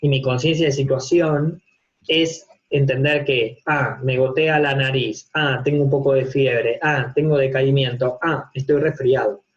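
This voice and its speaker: A man's voice: medium pitch, steady tone, measured pace. A man with an Argentine accent giving an informal online university lecture from a home office, explaining step by step with everyday examples and rhetorical questions. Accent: Argentine Spanish. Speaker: university psychopathology teacher. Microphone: video call. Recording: dull, lo-fi video-call audio.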